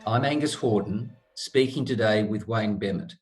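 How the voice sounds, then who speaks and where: A man's voice: fairly low pitch, normal speed, music in the background, low-quality recording. A man interviewing a guest, asking friendly, conversational questions.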